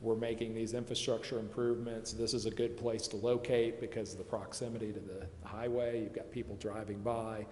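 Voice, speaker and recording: Low-voiced man in an echoey chamber. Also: level tone, brisk, hardly any pauses, darkish tone. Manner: hedging, repeats himself. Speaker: presenter advising a city committee. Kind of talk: explaining at a public meeting. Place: council chamber. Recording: echoey meeting chamber, faint noise.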